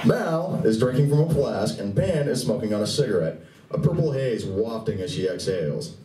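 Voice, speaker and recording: A man's voice: lowish voice, lively intonation, normal speed, mellow, faint music behind. A man narrating a comedy radio play live, reading the script expressively with wry asides. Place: live convention event room. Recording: roomy, distant live recording, faint noise.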